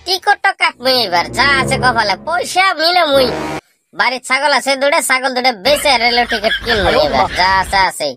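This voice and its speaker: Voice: sits high, animated, fairly quick, music in the background. A performer voicing a jobless, boastful young male village slacker in a comic cartoon, grumbling in a rural Bangladeshi dialect. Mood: scheming, eager.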